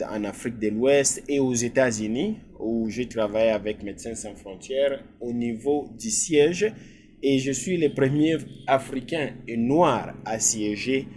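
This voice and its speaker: A man's voice: medium pitch, a little mellow, a little hiss or hum. A middle-aged man from DR Congo, a physician guest answering interview questions with many hesitations. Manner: hesitant, many filler sounds.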